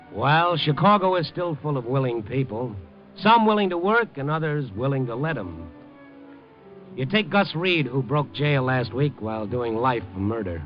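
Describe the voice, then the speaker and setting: An American actor playing a world-weary, wisecracking night reporter in wry 1950s radio noir style. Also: male voice, mid-range voice, lightly acted. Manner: wry, hard-boiled, colloquial. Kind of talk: 1950s radio noir first-person narration. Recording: dull old radio recording, music behind.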